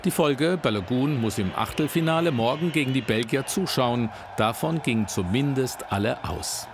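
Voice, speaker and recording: A man reading a scripted news report voice-over in a measured, pointed way. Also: mid-range voice, lively intonation, background noise.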